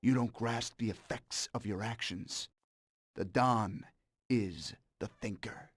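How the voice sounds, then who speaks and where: A man's voice: fairly low pitch, slow pace, no room sound, clean studio sound. An actor playing a smug, cold-blooded mobster in a game cutscene, mocking and sardonic as he menaces an old partner. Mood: scolding. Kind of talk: dramatic video game cutscene acting.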